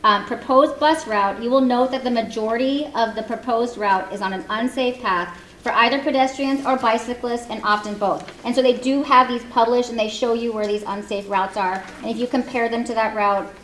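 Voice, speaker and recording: A woman, giving a prepared public comment in a loud, earnest voice. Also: a little high, fairly even intonation. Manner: fast, earnest, reads prepared remarks. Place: community meeting hall. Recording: echoey hall, faint room noise.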